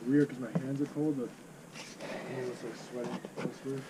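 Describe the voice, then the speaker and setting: A man's voice: mid-range voice, level tone, normal speed, heavy background noise. A man hiking a steep cliff trail at night, nervous about the heights and talking casually with a friend outdoors. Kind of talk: casual chat.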